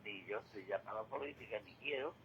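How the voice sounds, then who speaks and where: An older man giving a reflective radio monologue, halting and repeating words, with rhetorical questions. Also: on the low side, lo-fi sound. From Spain. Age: 60s or older. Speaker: retired regular contributor giving commentary. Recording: clearly noisy radio feed.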